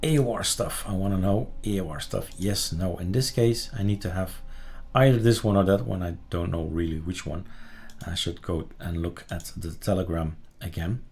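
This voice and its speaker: Male tutorial presenter, lower register, casual and halting, thinks aloud while demonstrating. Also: darkish tone. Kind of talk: casual screen-recorded software tutorial.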